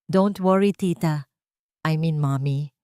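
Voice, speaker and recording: A woman's voice: sits low, slow, mellow, clear, resonant tone, no echo, studio quality. A woman voicing a cold, triumphant young bride villainess in a story, haughty and gloating in manner.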